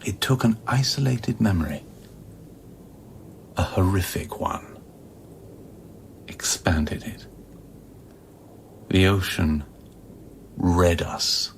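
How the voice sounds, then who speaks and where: A low male voice as a volatile, haunted scientist on a space station in a radio play. He is intense and halting, his pitch swinging widely as he philosophizes bitterly. There is faint noise behind him. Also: expressive, creaky, full character voice. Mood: grave, uneasy. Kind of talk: dramatic radio play acting.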